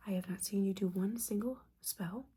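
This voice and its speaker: A woman's voice: mid-range voice, moderate pace, dark tone, breathy edge. A woman playing a disappointed, sarcastic witch mentor in an ASMR roleplay, whispering breathily in a casual, sweary, scolding manner. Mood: bossy, sarcastic.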